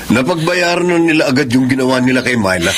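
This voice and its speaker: A man's voice: mid-range voice, expressive, quick pace, fairly bright tone, close to an everyday voice. An actor as a menacing, lovestruck suitor in a radio melodrama. His mid-range voice presses insistently, with slight noise behind it. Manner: insistent, threatening. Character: obsessive, menacing male suitor, willing to kill. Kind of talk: Filipino radio drama acting.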